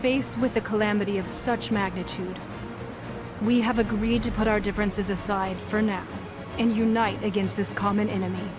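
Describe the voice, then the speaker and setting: A woman's voice: mid-range voice, steady tone, lightly acted. Composed commanding female leader acted by a woman. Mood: composed, grave. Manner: formal, measured, commanding. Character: composed, authoritative female leader, dignified and resolute. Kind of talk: video game voice acting. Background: loud game music.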